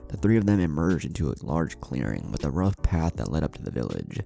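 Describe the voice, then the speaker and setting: A man's voice: very deep voice, huge pitch swings, brisk, gravelly, dark tone. A man reading scripted fan fiction as a story narrator, with music playing underneath. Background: background music.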